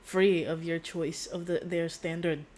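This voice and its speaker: A woman's voice: fairly low pitch, little pitch movement, unhurried, a little mellow. A woman livestreaming a game with casual, giggly, rambling commentary. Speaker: streamer. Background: video game audio.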